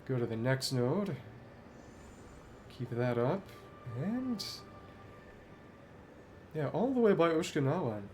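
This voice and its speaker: A man's voice: a little high, expressive, slow, long pauses, a little mellow. A man giving casual let's-play commentary on a video game, thinking aloud as he plays. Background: game music.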